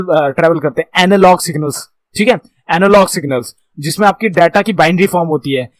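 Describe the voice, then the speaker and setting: Male instructor, high voice, didactic and repetitive explainer delivery, very dry recording. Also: no room sound. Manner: didactic, repetitive, often checking in with listeners. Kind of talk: explanatory computer networking lesson, reading notes and explaining them.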